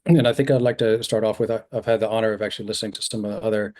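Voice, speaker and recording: A middle-aged man giving an earnest, measured submission to a committee over a video call, with frequent ums and restarts. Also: on the low side, fairly even intonation, darkish tone. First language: not originally from New Zealand.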